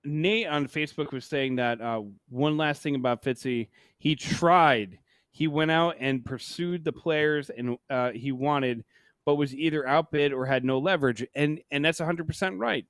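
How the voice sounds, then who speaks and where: A man with a somewhat high voice talks sports on a podcast in a very dry-sounding space. He is casual and opinionated, stressing his points with emphatic repetition. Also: normal speed, fairly bright tone, dry recording. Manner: emphatic, repeats himself for stress. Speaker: hockey podcast co-host.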